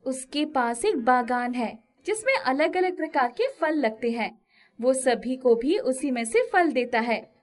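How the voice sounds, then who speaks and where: A woman's voice: sits high, lively intonation, a little slow. A woman voicing a humble, secretive village fruit seller in a storybook cartoon, earnest, exclamatory and easily flustered. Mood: evasive, polite.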